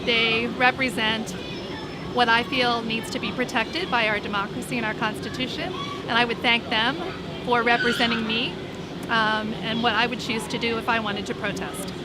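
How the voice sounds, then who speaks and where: A woman answering a street interview in a deliberate, earnest way. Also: on the high side. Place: outdoors on a city street. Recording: outdoor street with loud traffic.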